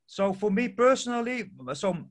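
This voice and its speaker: A man from the Netherlands with a Dutch accent, a guest speaking English as a second language, talking haltingly with many fillers and repeated words. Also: very high-pitched, fairly even intonation. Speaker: guest, permaculture project founder. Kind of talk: casual interview answers.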